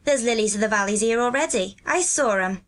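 A woman's voice: a little high, expressive, fairly quick, voice pushed up, natural voice. A woman voicing a cheerful, earthy twelve-year-old country boy in a storybook, with a hearty, good-natured Yorkshire dialect. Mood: eager.

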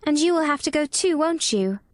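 A woman's voice: high voice, expressive, fairly quick, no room sound, studio quality, pitched above the performer's own voice. A woman reading an audiobook voices a lonely, prickly little girl who is earnest and a little prim.

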